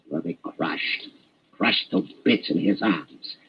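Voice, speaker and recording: A man playing a menacing, commanding mad scientist villain with a husky voice, in 1940s radio drama style. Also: low voice, slow, slight background noise. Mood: gloating menace. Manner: menacing, theatrical, emphatic. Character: gloating mad scientist villain, commanding, panicky when cornered. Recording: dull, lo-fi 1940s radio recording.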